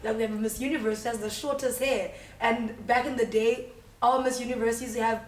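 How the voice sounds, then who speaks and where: A young South African woman talks in a bubbly, outgoing way as an interview guest, laughing easily. Her voice sits a little high. She is recorded in an echoey room, some distance from the mic. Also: steady tone, fairly quick, slight background noise. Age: 20s. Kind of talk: casual interview chat.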